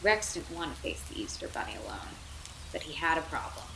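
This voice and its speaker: Animated woman reading a bedtime story. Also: low-pitched, brisk. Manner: animated, theatrical, with dramatic whispers and asides. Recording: roomy echo, clear background noise.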